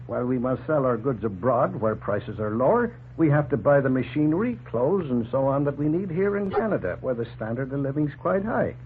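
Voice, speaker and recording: An actor playing a hospitable, plain-spoken farmer in a 1940s radio drama, earnest and explanatory. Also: male voice, medium pitch, lively intonation, a little fast, hardly any pauses, slight background noise, close to an everyday voice. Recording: tinny, humming 1940s radio recording.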